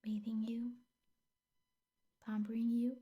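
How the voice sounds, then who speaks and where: A woman playing a possessive, obsessive yandere teacher girlfriend in an ASMR roleplay, speaking softly and often whispering, coaxing and doting with menace underneath. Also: on the high side, flat delivery, takes it slowly, dull audio. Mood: sweetly possessive. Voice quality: soft, breathy, often whispering. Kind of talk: ASMR roleplay, intimate scripted monologue.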